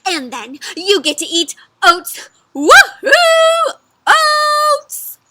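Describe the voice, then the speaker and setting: A woman voicing a vain, excitable, bossy female horse in a children's picture book, with a gushing manner. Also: high voice, unhurried, very noisy. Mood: super excited. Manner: gushing, exclamatory.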